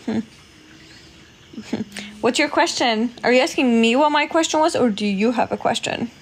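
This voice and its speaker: A woman's voice: a little high, normal speed. A woman from Poland hosting a casual livestream, chatting with viewers, thanking them and laughing often. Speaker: livestream host. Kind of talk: casual livestream chat reading comments.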